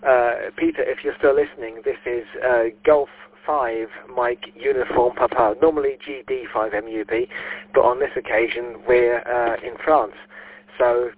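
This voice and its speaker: A man's voice: mid-range voice, fairly even intonation, moderate pace. A man on amateur radio, chatting casually and jokily, with lots of ums and uhs. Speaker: ham radio operator. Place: holiday home patio. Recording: tinny radio link.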